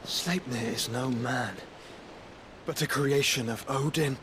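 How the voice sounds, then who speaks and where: An actor playing a grave, learned adviser who speaks formally in a cinematic video game. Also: male voice, medium pitch, takes it slowly, background noise, natural voice. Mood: alarmed foreboding. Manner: solemn, formal.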